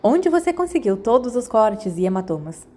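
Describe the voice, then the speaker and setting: A woman's voice: fairly high pitch, very animated, moderate pace, warm tone, slight background noise. Woman as a curious, forthright teenage schoolgirl. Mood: probing.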